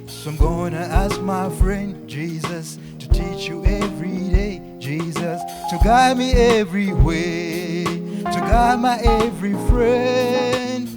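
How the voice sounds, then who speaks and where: Male poet-singer, Ugandan accent, high-pitched and unhurried, chanting theatrically over live music. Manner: chanting, repetitive, theatrical delivery. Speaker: spoken word performer, singer. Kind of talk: live spoken word poetry with singing.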